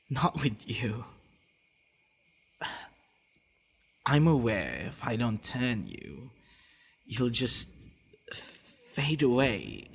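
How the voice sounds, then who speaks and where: A man playing a possessive, teasing, devoted vampire boyfriend in an intimate ASMR roleplay, speaking in a hushed, breathy way with hesitant pauses. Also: medium pitch, a little hiss or hum, exaggerated voice. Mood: anguished, reluctant.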